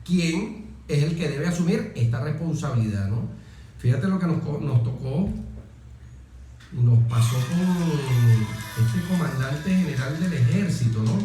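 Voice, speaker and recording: Echoey, mellow-voiced middle-aged Venezuelan man. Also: on the high side, animated, a little slow, soft background music. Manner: emphatic repetition, rhetorical questions. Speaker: interview guest, military officer. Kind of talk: conversational anecdotal interview. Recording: very echoey, reverberant room.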